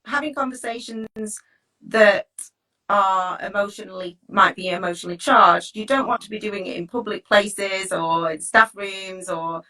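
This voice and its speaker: Bright-voiced woman giving informal advice. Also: medium pitch, measured pace, rough recording. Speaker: teacher well-being coach and host. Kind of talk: informal advice talk, conversational.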